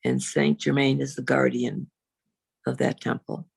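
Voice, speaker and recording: A woman reverend leading a guided meditation in a slow, soothing, unhurried way, with long pauses. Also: flat delivery, no room sound. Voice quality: low, husky, creaky.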